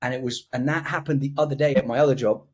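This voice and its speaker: A man with a deep voice telling a self-deprecating anecdote in casual podcast chat. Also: steady tone, fast, darkish tone, husky. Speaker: podcast co-host.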